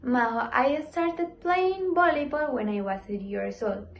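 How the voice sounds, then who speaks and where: A woman interviewed as a volleyball player, giving prepared answers in a steady, measured way with a few hesitations. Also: high-pitched. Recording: echoey room, mic at a distance.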